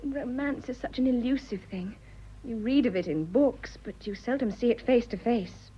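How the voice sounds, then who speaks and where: A woman's voice: on the high side, moderate pace, dark tone, a little hiss or hum, close to an everyday voice. An actress with a British accent playing an earnest, hesitant, idealistic young wife in her twenties in a radio drama. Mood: romantic, pleading. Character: romantic, idealistic, nervous young English wife of twenty-five. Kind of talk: stage comedy radio drama acting. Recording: slightly dull, lo-fi audio.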